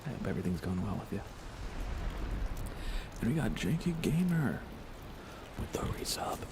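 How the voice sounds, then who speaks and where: A man speaking softly and gently in an unhurried ASMR style while chatting on a live gaming stream, his voice hushed and at times close to a whisper. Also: lowish voice, sing-song, noisy background. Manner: gentle, unhurried, thinking aloud. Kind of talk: soft-spoken ASMR gaming stream chat.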